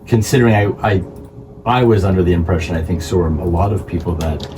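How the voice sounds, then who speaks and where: A man hosting a sports radio show, interviewing a guest in the studio and reacting quickly. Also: low-pitched, noisy background.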